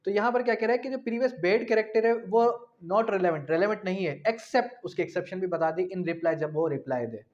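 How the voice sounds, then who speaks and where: A man giving an explanatory law lecture, repeating key phrases slowly and asking rhetorical questions in a didactic way. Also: high voice, lively intonation, darkish tone. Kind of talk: explanatory law lecture, mixing in English terms. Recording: dull, muffled lo-fi recording.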